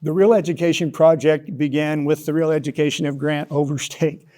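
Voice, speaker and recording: A man gives conversational interview answers in a very mellow voice pitched a little above average. He explains things haltingly, often repeating a word before moving on. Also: steady tone. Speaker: interview guest, author. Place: broadcast interview studio.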